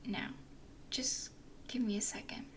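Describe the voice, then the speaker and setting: A woman voicing a flirty, playful female werewolf guide in an ASMR roleplay, speaking in soft, teasing whispers. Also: unhurried, close to an everyday voice.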